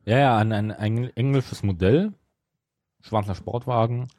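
A man's voice: medium pitch, unhurried, dark tone, dry recording, clean studio sound. A man chatting as a podcast co-host, analytical and questioning in a casual way. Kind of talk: casual fan discussion.

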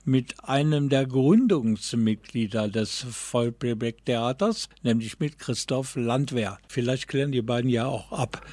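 A man's voice: medium pitch, darkish tone, no echo. A man hosting a radio culture show, announcing guests and ticket information in an upbeat way. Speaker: radio host. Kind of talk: radio moderation.

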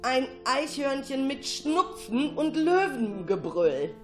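A woman narrating a children's story in an expressive, dramatic, suspenseful way. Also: sits high, takes it slowly, soft background music. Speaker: storyteller, radio show narrator.

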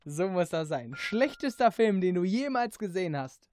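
A woman hosting a casual radio interview with quick-fire questions, giggling readily. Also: fairly low pitch, sing-song, darkish tone. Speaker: radio host.

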